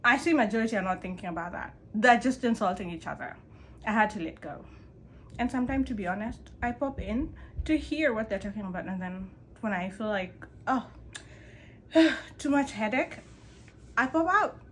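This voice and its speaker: A woman's voice: moderate pace, slight background noise, low-quality recording. A woman vlogger from Kenya, talking candidly about letting go of control in a conversational personal monologue. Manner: candid, conversational, animated emphasis with pitch jumps. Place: Canada.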